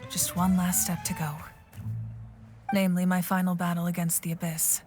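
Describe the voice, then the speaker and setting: A woman playing a weary, dutiful warrior leader in a video game, measured and earnest. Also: fairly low pitch, level tone, music in the background, lightly acted. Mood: calm, resolute.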